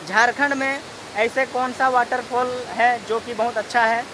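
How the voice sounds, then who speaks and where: Chatty man vlogging beside a roaring waterfall. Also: very high voice. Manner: chatty, rambling, laughs often. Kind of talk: casual travel vlog talk. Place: outdoors by a waterfall. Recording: loud hiss of falling water.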